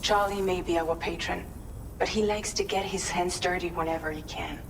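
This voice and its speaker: An actress playing a composed, businesslike leader in a video game, briefing someone with assurance. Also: female voice, on the low side, recorded from a distance, background noise, natural voice. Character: composed woman leader briefing her team member. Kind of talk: scripted game dialogue.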